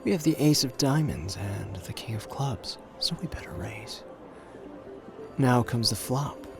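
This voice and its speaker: A man's voice: mid-range voice, animated, gravelly, over music. A man playing a suave, flirtatious, confident gambler in an ASMR roleplay audio drama, teasing and intimate in the way he talks. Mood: flirtatious, hushed. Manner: teasing, intimate, drawn-out phrasing with pet names.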